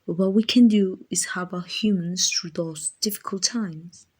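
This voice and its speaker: A gentle guardian angel, acted by a woman for an ASMR comfort roleplay. The voice is breathy and a little low, and the words come slowly. The angel is doting and slightly clumsy, hesitant and apologetic, with plenty of affection. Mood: fond, explaining.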